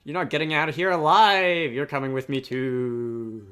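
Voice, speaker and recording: A man voicing a creepy ghost from a sea tale, threatening and drawn-out, while reading game dialogue. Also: on the high side, steady tone, a bit bright, smooth, natural voice. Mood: menacing.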